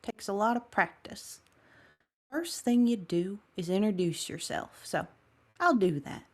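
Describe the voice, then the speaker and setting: A woman's voice: medium pitch, animated, unhurried. A woman playing a friendly, chatty, plain-spoken woman on a phone call in a table read. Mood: friendly, delighted. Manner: chatty, encouraging. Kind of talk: table read of a science fiction story.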